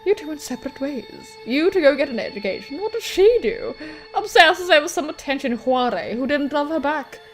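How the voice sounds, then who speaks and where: Woman voicing a sneering, high-pitched teenage girl. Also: lively intonation, moderate pace, faint music behind, poor audio. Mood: bitter, mocking. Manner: sneering, sarcastic, swearing. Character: scornful, accusing teenage girl's inner self, taunting cruelly.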